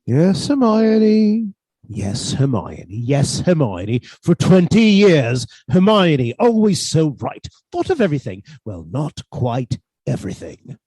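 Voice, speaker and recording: Man acting a husky, mellow henpecked husband. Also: on the high side, very animated, slow pace, dry recording, full character voice. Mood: bitter, brooding. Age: middle-aged. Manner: mild, submissive, quietly sly. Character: meek henpecked British botanist husband, quietly scheming murderer. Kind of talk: radio suspense drama acting with narration.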